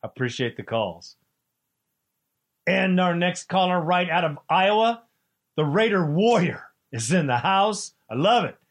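Host: man, fairly high voice, excitable fan talk, preachy streak. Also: measured pace. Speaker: radio show host. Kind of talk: sports talk radio.

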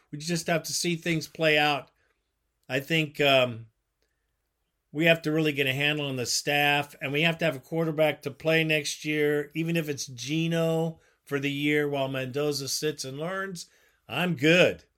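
A man hosts a call-in sports show, folksy and emphatic as he leans into his points. His voice is bright and forceful, pitched a little on the high side. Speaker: radio show host.

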